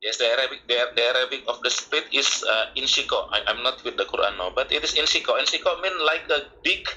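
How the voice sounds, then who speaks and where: A man's voice: mid-range voice, pushed and bright, a little hiss or hum. Hesitant man on a tinny call. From Indonesia. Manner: hesitant, halting, repeats words. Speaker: debate guest. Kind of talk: call-in debate.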